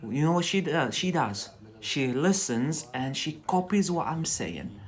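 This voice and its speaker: A man's voice: on the high side, sing-song, measured pace. A man with a Scottish accent teaching English on a live stream, chatty and loose, tagging sentences with "yeah?" and repeating words for practice.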